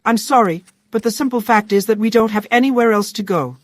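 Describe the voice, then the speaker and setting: A woman voicing an earnest, weary leader of fantasy vagrants in a video game, speaking in a measured, plain-spoken way. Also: a little high, no echo, natural voice. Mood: apologetic, pleading. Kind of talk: scripted video game character dialogue.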